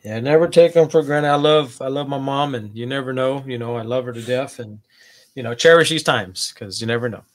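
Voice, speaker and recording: A man co-hosting a podcast, easygoing and agreeable in casual chat over a remote call. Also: medium pitch. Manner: easygoing, agreeable, quick to affirm. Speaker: podcast co-host.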